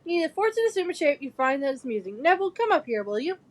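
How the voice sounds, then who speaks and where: Woman playing a lively, high-voiced kindly professor. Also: racing. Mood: instructive. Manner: courteous, instructive. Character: kindly, encouraging male defence professor. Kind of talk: fan audio drama acting.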